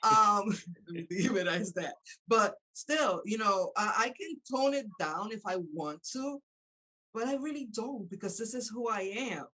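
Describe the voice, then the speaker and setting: A woman's voice: mid-range voice, animated, unhurried, poor audio. Middle-aged woman with Spanish accent. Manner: chatty, warm-hearted affirmations, frequent laughter. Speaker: interview host. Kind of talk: casual interview chat.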